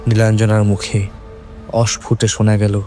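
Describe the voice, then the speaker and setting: Low, dark-toned male horror narrator. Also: completely flat delivery, normal speed, faint music behind. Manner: dramatic, suspenseful. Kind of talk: scripted horror story narration.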